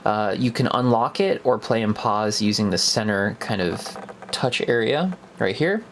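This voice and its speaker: A man's voice: fairly low pitch, animated, unhurried, a little hiss or hum. A young man giving a casual, unscripted product review in a hesitant, conversational way, as if thinking aloud.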